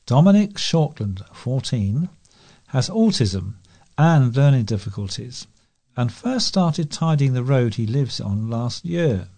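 A man's voice: medium pitch, sing-song, slow pace, dark tone. A man reading scripted local news as a volunteer newsreader.

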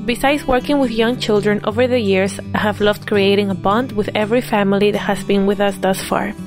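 A woman hosting a parenting podcast who asks questions eagerly and reacts quickly to her guest. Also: mid-range voice, steady tone, over music. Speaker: podcast host.

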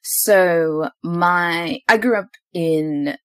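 A woman's voice: fairly low pitch, drawn-out words, no room sound, studio quality. A woman in her twenties who grew up in Zimbabwe and New Zealand, hosting a podcast and chatting casually, telling stories with frequent laughs. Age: 20s. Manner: chatty, laughs often, animated storytelling. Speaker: podcast host. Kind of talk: casual conversation.

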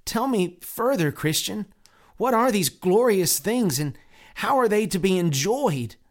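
A man voicing a gentle, easily swayed male neighbor who asks eager questions. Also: sits high, expressive, unhurried, pitched above the performer's own voice. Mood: mild.

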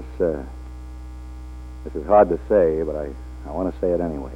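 An actor playing a hard-drinking, flirtatious male film star in a 1940s radio drama, halting and repeating his words. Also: a bass voice. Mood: tender, halting. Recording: old 1940s radio, tinny with hum.

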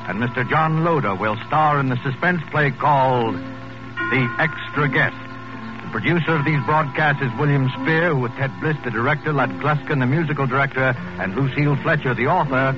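Actor as ominous old-time radio narrator guy, formal, mid pitch, music behind, muffled. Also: lightly acted. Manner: formal, theatrical, deliberate. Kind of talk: 1940s radio announcing.

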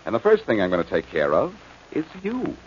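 An American actor playing a cocky, sardonic amateur sleuth who wisecracks at the police in a 1940s radio drama. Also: male voice, lowish voice, huge pitch swings, a little fast, loud music behind the voice. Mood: coolly wry. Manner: flippant, sardonic. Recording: muffled, noisy old radio recording.

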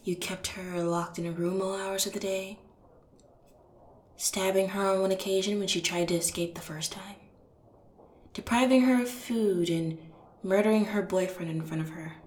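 A stern female police detective acted by a woman speaks in a roomy, echoing space. Her mid-pitched voice is cold and deliberate, full of contempt, as in an interrogation scene from an audio drama. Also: darkish tone, natural voice. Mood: cold, accusing. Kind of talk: audio roleplay acting. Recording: roomy echo on the voice.

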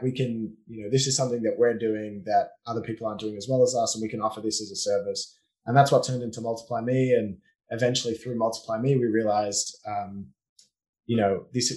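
A man with an Australian accent, a business owner speaking as a guest on a podcast in a relaxed, explanatory way with frequent fillers. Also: on the low side, rough recording. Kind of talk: casual conversational interview.

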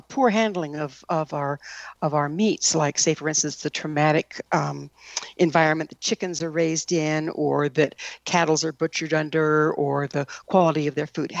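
A woman's voice: fairly low pitch, gravelly, dry recording, highs cut off. A woman hosting a broadcast interview show and making show announcements. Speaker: radio show host.

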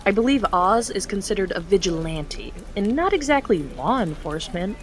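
A woman's voice: mid-range voice, animated, moderate pace, natural voice. An actress playing an earnest young woman in her first week at a charity job. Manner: pleading, earnest. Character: earnest young female charity worker, newly promoted.

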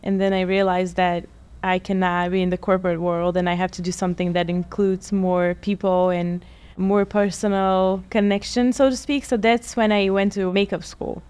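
A woman's voice: medium pitch, level tone, normal speed, a little mellow, clear, resonant tone. A woman in her thirties from Bulgaria, a guest telling her story in a casual interview and often acting out what people said. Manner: chatty, laughs often, acts out quoted people. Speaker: guest, lash technician.

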